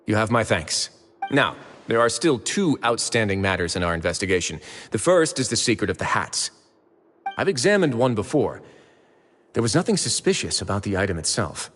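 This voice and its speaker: An actor playing a composed, polite man in charge, speaking in a measured, formal way. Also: lowish voice, very animated, fairly bright tone, in a large hall, soft background music. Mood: calm. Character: composed male warden, calm and courteous.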